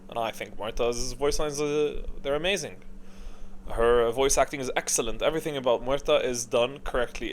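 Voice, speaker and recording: A man's voice: medium pitch, a bit bright. A man giving casual, opinionated ranking commentary as a gaming video creator, rambling along in emphatic, slangy language.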